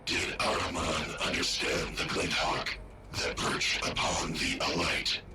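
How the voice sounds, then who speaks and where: An actor playing an ancient, malevolent war-machine intelligence in a video game, with a synthetic booming voice and terse, imperious declarations. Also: male voice, level tone, takes it slowly. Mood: commanding, menacing. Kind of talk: scripted video game acting. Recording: loud music and rumble behind.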